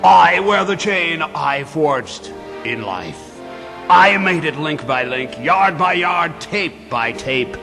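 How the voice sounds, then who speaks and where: A man in a live radio drama playing the tormented ghost of a dead miser, mournful and theatrical, with a gravelly voice. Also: a little high, lively intonation, pushed and bright, heavily acted. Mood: grave reproach. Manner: slow, mournful, theatrical wailing and declaiming. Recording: long hall reverb with music behind.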